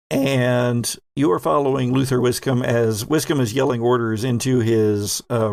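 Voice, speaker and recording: Gravelly man running a tabletop game. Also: medium pitch, darkish tone, no echo, clean studio sound. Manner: conversational narration, addresses players directly. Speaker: game master. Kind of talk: tabletop game narration, improvised.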